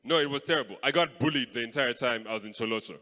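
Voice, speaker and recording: A young man from Kenya doing live stand-up comedy on a stage mic, playful and animated. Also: medium pitch, quick pace. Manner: animated, playful, switches voices. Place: stage in a large hall. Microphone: handheld stage mic. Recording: live hall with long reverb.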